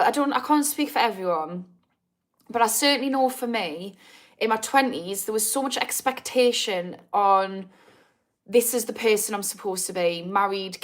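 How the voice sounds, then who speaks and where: A woman in her thirties with a Geordie accent, chatting casually on a livestream, answering viewer comments with self-mocking humour and frequent laughter. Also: mid-range voice. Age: 30s. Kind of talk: casual live chat.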